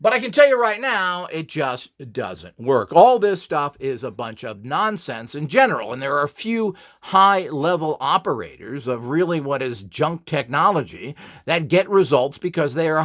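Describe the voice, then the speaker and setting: A man's voice: on the high side, fairly bright tone. A middle-aged or older man giving an informal, off-the-cuff instructional talk in a blunt, opinionated, emphatic and rambling way. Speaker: instructor and video presenter.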